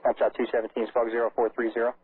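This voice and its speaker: Monotone, rapid-fire male air traffic controller. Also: mid-range voice. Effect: aviation radio. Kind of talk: clipped procedural radio instructions. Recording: narrowband crackly air traffic radio.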